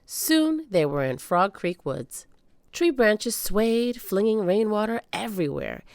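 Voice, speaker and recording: A woman reading a children's book aloud as an animated storyteller, with dramatic emphasis. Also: medium pitch, slow pace, creaky, dry recording, clean studio sound. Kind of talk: expressive read-aloud storytelling.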